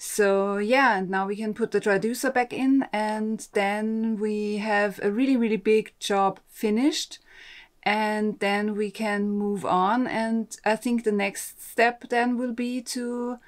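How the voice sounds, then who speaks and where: A woman in her thirties, a sailing vlogger, narrating a boat refit in a relaxed, plain way. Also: medium pitch, takes it slowly. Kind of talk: voice-over narration and casual selfie talk. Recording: music clearly behind the voice.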